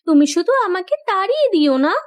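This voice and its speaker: This is a young, rich-born bride from a cartoon tale, acted by a woman. Her voice is extremely high and girlish. She speaks earnestly and insistently, loving yet stubborn. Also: sing-song, brisk. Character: determined, loving young landlord's daughter turned farmer's wife. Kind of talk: cartoon story voice acting.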